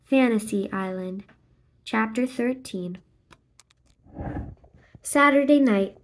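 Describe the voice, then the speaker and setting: A woman's voice: a little high, slow, a little hiss or hum. A woman reading a storybook aloud, narrating and acting out the dialogue and sound effects expressively.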